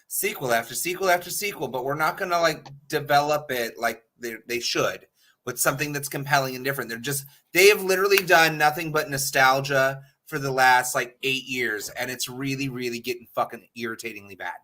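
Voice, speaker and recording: A man's voice: medium pitch, brisk, bright, forceful tone. A man talking casually and bluntly as a panelist on a talk show, opinionated and freely swearing. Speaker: podcast panelist.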